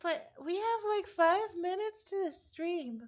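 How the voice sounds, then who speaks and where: A woman livestreaming a visual novel, chatting casually and reading game text aloud in an excitable, halting way, often repeating phrases. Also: extremely high pitch. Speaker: streamer playing a visual novel. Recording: dull lo-fi stream audio.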